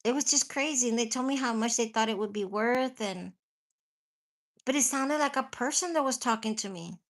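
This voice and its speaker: Woman, live chat host, stuffy nose, rambling, swears, laughs often, slightly high voice. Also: moderate pace. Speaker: livestream host chatting with viewers.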